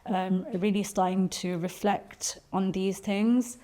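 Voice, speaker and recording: A woman poet, answering interview questions thoughtfully and pausing often to find her words. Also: medium pitch, fairly even intonation, mellow. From Birmingham, England. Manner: thoughtful, searching for words with frequent ums and restarts.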